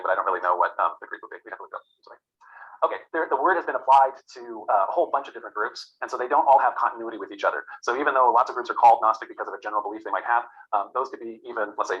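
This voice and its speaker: Man lecturing to a class, rapid delivery, bright tone, rambles with fillers; dull lo-fi recording. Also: on the low side. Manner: rambling, many fillers and restarts.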